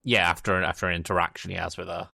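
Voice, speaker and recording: A man's voice: deep voice, flat delivery, racing, gravelly, pushed and bright, dry recording, studio quality. A man hosting a podcast, giving casual film commentary in a conversational way, rephrasing as he goes. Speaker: podcast host.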